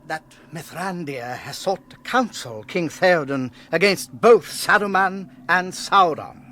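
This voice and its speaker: An actor playing a frightened, sneaky, servile messenger in a radio fantasy drama, obsequious and pleading. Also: male voice, high voice, expressive, a little slow, pushed and bright, heavily acted. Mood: anxious.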